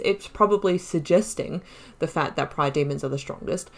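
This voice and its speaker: A woman hosting a video game playthrough, moving between measured lore reading and emphatic, musing casual commentary. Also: on the low side. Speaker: gamer host of a playthrough series.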